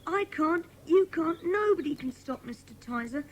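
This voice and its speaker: Woman playing Jeff, high-voiced timid British boy. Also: lively intonation, moderate pace. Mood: frightened, defeated. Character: Jeff, frightened, grateful young runaway boy. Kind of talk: dramatised children's audiobook acting.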